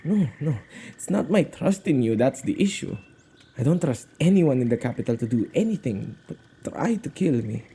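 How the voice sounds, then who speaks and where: A man acting an anxious, protective witch who guards spirits, in an intimate, expressive ASMR audio roleplay with a halting conversational delivery. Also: mid-range voice, slightly rough, full character voice. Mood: agitated, pleading. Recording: faint background noise.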